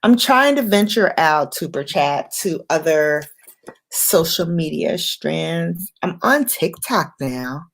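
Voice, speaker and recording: A woman hosting an impromptu late-night livestream, speaking in an unhurried, reflective way in short, paused phrases. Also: low voice, lively intonation, creaky. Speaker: livestream host.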